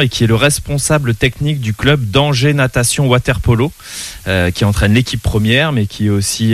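A man hosting a podcast interview in a room at an association's premises, asking friendly questions with many fillers. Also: medium pitch. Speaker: podcast host. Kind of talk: casual interview talk.

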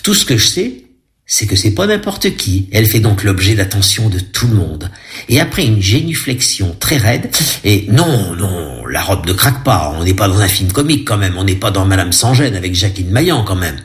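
A man's voice: lowish voice, very animated, normal speed. A man giving an audio description of a film, chatty and ironic, with asides to his listeners.

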